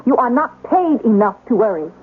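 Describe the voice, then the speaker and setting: An American actress playing an anxious, sharp-tongued young wife and mother in an old-time radio drama, emotional and nagging. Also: fairly high pitch, fairly quick, breathy edge, narrow, tinny audio. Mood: scolding, indignant. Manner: emotional, nagging, expressive delivery. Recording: thin band-limited noisy radio recording.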